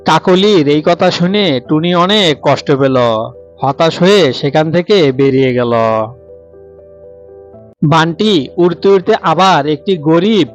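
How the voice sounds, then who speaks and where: A man's voice: fairly high pitch, slow pace, muffled recording, soft background music. A man narrating a scripted cartoon story as its storyteller.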